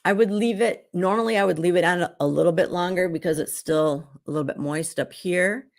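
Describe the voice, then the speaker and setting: A middle-aged woman who is hoarse while getting over an illness, chatting casually as she hosts a live skincare demonstration at home. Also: fairly low pitch, a little fast. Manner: chatty and rambling, with frequent restarts. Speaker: beauty consultant and show host.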